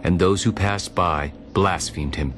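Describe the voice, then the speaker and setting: Very deep-voiced male scripture narrator. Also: unhurried. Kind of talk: solemn dramatized scripture narration. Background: music.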